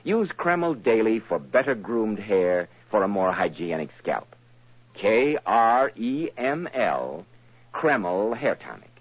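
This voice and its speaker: Low, animated male old-time radio announcer. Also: slow. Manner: polished, emphatic sales delivery. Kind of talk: 1940s radio advertising read. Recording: muffled old radio recording.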